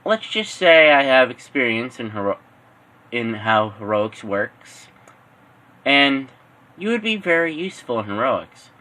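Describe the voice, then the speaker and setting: A man's voice: medium pitch, sing-song, unhurried, pushed and bright, low-quality recording. A man voicing a scheming supervillain in an amateur fan story, muttering and deliberating in an offhand way. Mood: advising. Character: scheming adult male supervillain, gruff and musing.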